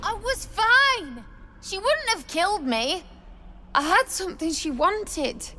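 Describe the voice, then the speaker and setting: An actress playing a desperate, bitter young widow in a video game, anguished and accusing. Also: female voice, very high voice, bright, forceful tone, a little hiss or hum, full character voice. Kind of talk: video game character acting.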